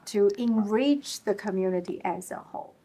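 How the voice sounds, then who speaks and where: Woman host, mid-pitched, affirming. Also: normal speed, mellow. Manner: affirming, frequent listening murmurs. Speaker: interview host, chamber of commerce. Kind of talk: conversational interview.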